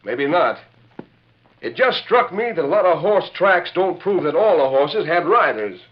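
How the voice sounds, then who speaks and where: A man playing an excitable, folksy western deputy with frontier grammar in a 1940s radio drama. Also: fairly high pitch, a little slow. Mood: wary. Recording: muffled old radio recording.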